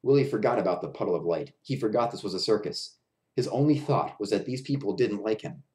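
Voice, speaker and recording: A man's voice: on the low side, lively intonation, a little fast, a little mellow. A man in his thirties reading a children's story aloud in a small room at home. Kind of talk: children's picture-book read-aloud. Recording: roomy echo of a bare room.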